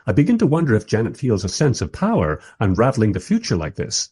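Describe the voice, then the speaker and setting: Radio drama narrator, male actor: husky, lowish, wry and musing filmmaker telling his own story. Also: huge pitch swings, quick pace, darkish tone, full character voice. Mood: wry, reflective.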